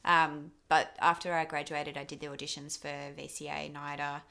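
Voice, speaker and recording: A woman's voice: low voice, completely flat delivery, normal speed, gravelly, sharp tone. A young woman with an Australian accent giving casual interview answers, candid and self-deprecating as she rambles through her thoughts. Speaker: interview guest, actor and filmmaker.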